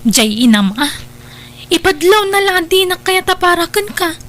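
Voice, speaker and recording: A woman's voice: extremely high pitch, sing-song, moderate pace, a bit bright, slight background noise, heavily acted. A woman playing a young girlfriend with a sweet, pouting and demanding way in an audio drama. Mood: pouting, complaining.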